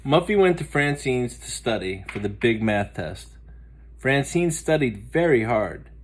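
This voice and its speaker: Man reading a storybook with lively pitch. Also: measured pace. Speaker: teacher reading aloud. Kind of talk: expressive picture-book read-aloud.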